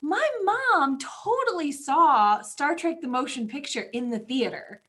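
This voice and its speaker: A woman co-hosting a casual podcast chat, reminiscing and telling stories in an animated way. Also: high voice, unhurried. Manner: animated storytelling with acted-out quotes. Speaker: podcast co-host.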